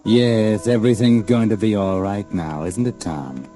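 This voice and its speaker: An actor plays a taunting, all-knowing American narrator in 1940s radio drama. His lowish, dark voice rises and falls insinuatingly over music. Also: close to an everyday voice. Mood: taunting, ominous. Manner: insinuating, drawn-out, mocking. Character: knowing, sinister, taunting storyteller addressing the characters.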